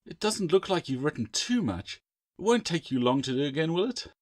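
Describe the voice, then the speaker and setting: Bold young girl, acted by a male narrator, storybook sibling who teases and pushes back, pitch raised. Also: sing-song, fairly quick, no room sound, clean studio sound. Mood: reassuring. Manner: teasing, rebuffing.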